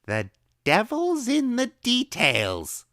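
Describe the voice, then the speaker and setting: A man voicing an eccentric, eager middle-aged woman recluse who speaks in loud, excitable, cryptic bursts. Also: on the high side, moderate pace, clean tone, no room sound, studio quality, pitched above the performer's own voice. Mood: excited.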